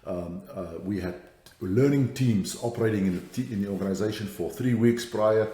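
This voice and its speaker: Middle-aged South African man, very low voice, unhurried podcast guest, roomy sound. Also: mellow. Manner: thoughtful, many fillers and restarts. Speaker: guest, safety strategist. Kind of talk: podcast interview.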